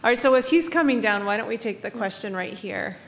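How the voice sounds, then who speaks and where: A woman curator moderating a stage conversation, briskly directing audience questions. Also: medium pitch, animated, a bit bright. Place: large auditorium stage.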